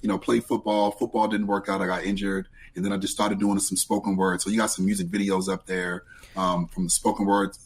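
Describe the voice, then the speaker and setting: A man with a raspy voice, chatting in an easygoing, friendly way as a guest on a podcast interview. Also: deep voice, level tone, fairly quick. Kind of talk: casual interview chat.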